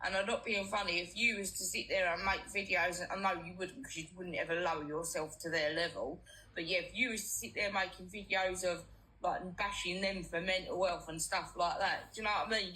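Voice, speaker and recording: A woman's voice: fairly low pitch, steady tone, fairly quick, bright, forceful tone, a little hiss or hum, low-quality recording. A British woman chatting casually in a colloquial, sweary, supportive manner. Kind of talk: casual chat.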